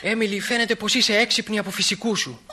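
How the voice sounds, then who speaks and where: A man's voice: high voice, fairly even intonation, sharp tone, husky, full character voice. A man playing an awkward, enthusiastic sixteen-year-old boy in a Greek radio play, hesitant and eager. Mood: eager, flustered.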